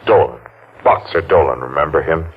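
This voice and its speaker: Coldly vengeful masked man, rock-bottom pitch, taunting, accusing, vintage radio drama, dull recording. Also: a little hiss or hum. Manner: menacing, deliberate, taunting. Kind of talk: 1940s radio mystery acting.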